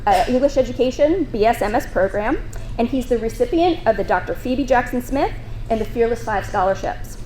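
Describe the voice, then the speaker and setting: A woman on a college's staff speaks formally and proudly from a podium in a large banquet room. Her voice is a little higher than average and rings in the roomy hall, with faint ambience behind it. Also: a little mellow, a little hiss or hum. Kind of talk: prepared speech at a breakfast event.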